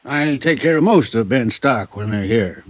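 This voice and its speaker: An American actor playing a folksy, proud older country veterinarian in a 1950s radio drama, defensive when questioned. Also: male voice, medium pitch, lively intonation, normal speed, no room sound, natural voice. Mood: guarded, explanatory. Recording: dull vintage radio recording.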